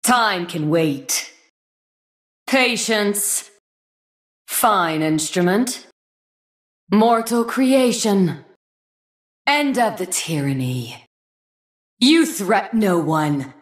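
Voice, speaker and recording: A woman voicing a serene, ageless celestial guardian in a fantasy game, making solemn, lofty declarations in a stately, measured manner. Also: medium pitch, animated, reverberant. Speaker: game character voice. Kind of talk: scripted fantasy game voice lines.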